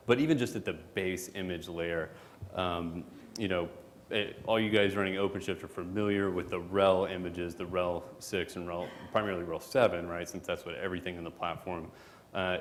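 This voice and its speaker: Low-voiced guy joking through a conference talk, lots of ums, echoey hall. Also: a little fast. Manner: relaxed and joking, with many fillers. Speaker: product manager presenting at a conference. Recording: hall reverb, faint background noise.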